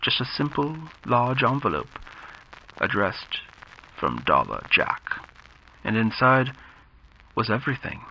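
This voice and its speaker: Intense, sharp-voiced male dramatic narrator. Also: medium pitch, big pitch swings, creaky. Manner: dramatic, deliberate storytelling with pauses. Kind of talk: scripted dramatic narration of a radio-style thriller. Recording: slightly dull, faint background noise.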